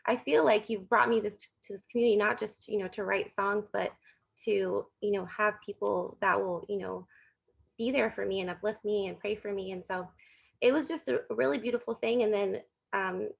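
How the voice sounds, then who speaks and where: A woman's voice: mid-range voice, gravelly, breathy edge. A young American woman giving a casual, conversational testimonial on slightly muffled, lo-fi audio. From Florida, United States.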